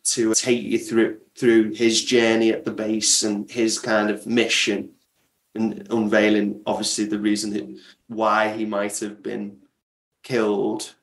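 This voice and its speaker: A man's voice: on the low side, monotone, a little slow. A man giving explanatory, conversational interview answers as a guest creator, often restarting mid-thought. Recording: echoey, roomy sound.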